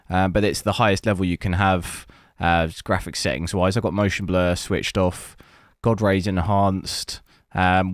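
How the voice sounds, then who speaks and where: A man with a hoarse, lost voice, hosting a PC gaming channel and commenting casually while he plays, thinking aloud. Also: deep voice, no room sound, studio quality. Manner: relaxed, rambling, thinking aloud. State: hoarse, losing his voice. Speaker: PC gaming benchmark channel host.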